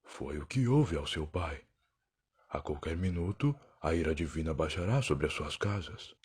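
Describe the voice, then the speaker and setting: Proud, weary time traveler played by a man, Brazilian accent, low husky voice, solemn dramatic narration for an audio drama. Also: lively intonation, moderate pace, a little mellow, exaggerated voice. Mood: grave, challenging. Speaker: narrator and lead character. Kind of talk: dramatic first-person scripted narration.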